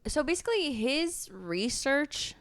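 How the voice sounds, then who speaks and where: A woman with a fairly high, very animated voice chats as a book podcast co-host. Her delivery is candid and informal, full of slang. The sound is dry, with no room echo. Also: measured pace. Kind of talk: casual book discussion chat.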